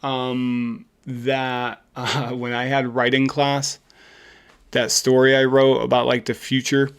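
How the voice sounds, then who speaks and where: A man's voice: mid-range voice, monotone, slow pace, clean tone. A man co-hosting a podcast in casual chat, speaking informally and encouragingly, often saying "dude.". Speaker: podcast co-host.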